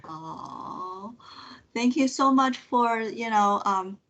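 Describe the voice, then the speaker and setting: A woman hosting an online meetup informally on a video call, searching for words in a halting way. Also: a little high, expressive, fairly bright tone. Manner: halting, searching for words, often repeating "you know". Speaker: meetup host.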